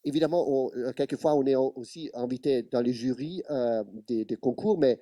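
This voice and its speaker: A man's voice: mid-range voice, normal speed, dark tone, no echo, studio quality. A man with an Italian accent, a documentary producer on a panel, speaking French as a second language and often stopping to find his words. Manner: hesitant, searching for words in French. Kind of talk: panel talk.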